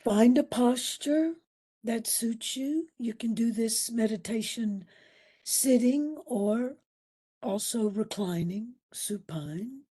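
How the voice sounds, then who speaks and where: A woman leads a guided meditation in a soft-edged, breathy voice. Her delivery is very slow and soothing, with long pauses between short phrases, and her tone is dark and mellow. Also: fairly high pitch. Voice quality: breathy, at times near a whisper. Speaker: meditation instructor.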